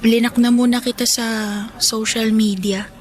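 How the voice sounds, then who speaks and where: A woman's voice: a little high, level tone, moderate pace, darkish tone, natural voice. A woman playing a torn, pleading young woman in a radio drama, with a Filipino accent and a coaxing manner. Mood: hesitant, coaxing. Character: torn, pleading young woman, best friend in love elsewhere.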